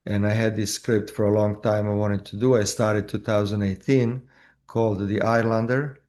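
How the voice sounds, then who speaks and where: A man with a Croatian accent, an actor telling his story in a casual interview, halting with many fillers and restarts. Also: on the low side, level tone, darkish tone. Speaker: actor guest.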